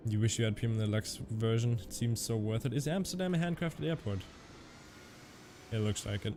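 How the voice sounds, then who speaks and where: A man's voice: mid-range voice, brisk, noisy background. Young man with a Canadian-German accent, streaming. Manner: chatty, reads chat aloud and answers viewers. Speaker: flight sim streamer. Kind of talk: casual live-stream commentary.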